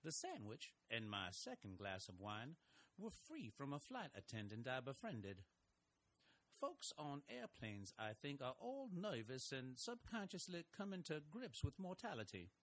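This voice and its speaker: A man's voice: mid-range voice, wildly swooping pitch, measured pace, fairly bright tone, exaggerated voice. An actor playing a folksy, wry older male investigator who narrates his story. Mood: reflective. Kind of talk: audio drama narration and acting.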